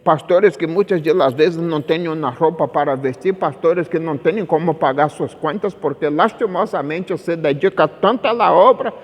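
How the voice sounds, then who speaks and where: A man's voice: fairly high pitch, normal speed, hardly any pauses, lo-fi sound. A man preaching as a pastor in an admonishing, insistent way, mixing Portuguese and Spanish as he expounds scripture. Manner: mixes Portuguese and Spanish as he speaks; admonishing, insistent, with rhetorical questions. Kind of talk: sermon with slow scripture reading and exposition. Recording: long hall-like reverb.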